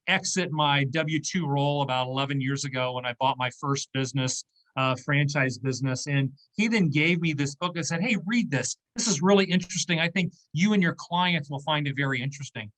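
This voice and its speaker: A man's voice: on the high side, fairly quick, a bit bright, low-quality recording. A man in his fifties, a business owner speaking as a guest in an interview, explaining in a conversational way and checking in with "okay?" and "right?". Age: 50s.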